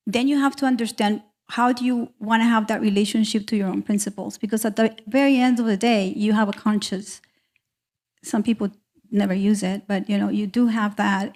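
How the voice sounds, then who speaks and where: A woman's voice: medium pitch, darkish tone. A middle-aged woman from America, telling personal stories as an artist on a panel in an emphatic, candid, conversational way. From South America.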